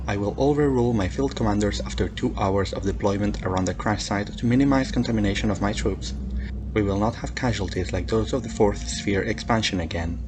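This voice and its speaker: A man's voice: on the low side, fairly quick, voice pushed down, natural voice. Man playing a stern alien commander over heavy noise. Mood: grave, commanding. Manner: formal, clipped, authoritative. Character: stern alien military supreme commander, measured and formal. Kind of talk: dramatic radio transmission reading. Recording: noisy hum behind a transmission.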